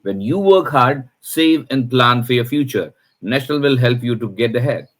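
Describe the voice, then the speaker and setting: A man's voice: mid-range voice, expressive, normal speed. A man presenting a breaking news item, reading a scripted announcement.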